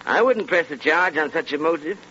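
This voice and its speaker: A noisy, muffled 1930s radio recording carries a seasoned British police inspector. He reasons in a bright, forceful voice, deliberate and assured. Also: a little high, lively intonation. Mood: reflective, assured.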